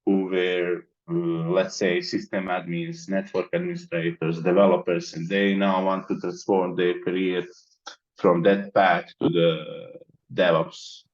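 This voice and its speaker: A man from the Balkans speaks in a very deep voice, laying out his plans methodically as an interview guest. His audio is dull and lo-fi. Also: unhurried. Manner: methodical, explains in long lists. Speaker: interview guest, mentorship organizer. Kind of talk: conversational interview.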